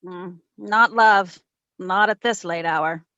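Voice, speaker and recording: Actress doing a wry radio detective gal, kinda high voice, teasing, dry muffled lo-fi sound. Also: female voice, slow, bright, forceful tone, dry recording, exaggerated voice. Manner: wry, teasing, affectionate endearments. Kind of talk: old-time radio detective acting and narration.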